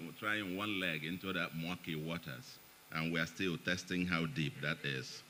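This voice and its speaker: A man's voice: deep voice, sharp tone, a little hiss or hum, low-quality recording. A middle-aged man with a Nigerian accent, speaking to an audience at a forum in a chatty way with joking asides. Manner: chatty, joking asides, chuckles between remarks. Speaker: guest speaker at an economic forum. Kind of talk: public speech, partly read. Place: event hall, in front of an audience.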